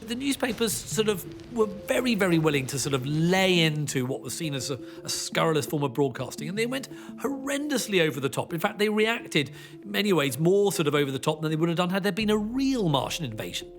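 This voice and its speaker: A man's voice: fairly high pitch, sing-song, a little fast, faint music behind. A man giving emphatic, wordy, informal commentary in a documentary interview.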